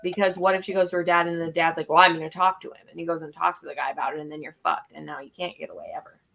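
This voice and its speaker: A woman's voice: low-pitched, fairly even intonation, rapid-fire, creaky, a bit bright. A woman in a casual book discussion, talking in a blunt, matter-of-fact way. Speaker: book club podcast panelist.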